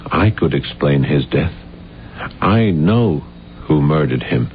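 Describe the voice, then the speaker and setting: An actor playing an earnest, halting, guilt-ridden failed playwright in a 1970s radio drama. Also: male voice, extremely low pitch, lively intonation, full character voice. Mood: grave, guilt-ridden. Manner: halting, intense, stammering when flustered. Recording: dull, noisy old radio recording with hum.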